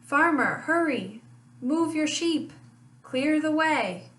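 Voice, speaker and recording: A woman teacher reading a rhyming children's picture book aloud in a slow, sing-song way, with lively honks. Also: sits high. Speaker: family literacy teacher. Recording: echoey room, voice a bit far from mic.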